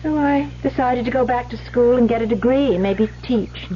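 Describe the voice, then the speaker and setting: Female actor for proud, defensive radio-drama heroine. Highish, expressive voice, muffled vintage broadcast with faint hiss. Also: normal speed, lightly acted. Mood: wistful, reluctant. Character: guarded, proud former actress, unhappily married, defiant. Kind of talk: old-time radio drama acting.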